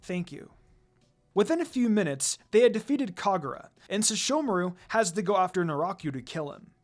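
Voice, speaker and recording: Animated, higher-pitched male story narrator. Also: a little fast, soft background music. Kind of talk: dramatic story reading.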